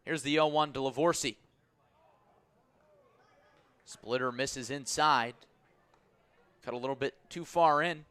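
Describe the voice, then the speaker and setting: Bright, punchy male baseball play-by-play announcer. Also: mid-range voice, slow pace, pauses often, clear, resonant tone, dry recording. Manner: clipped, punchy phrases that build with the action. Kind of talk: live sports play-by-play commentary.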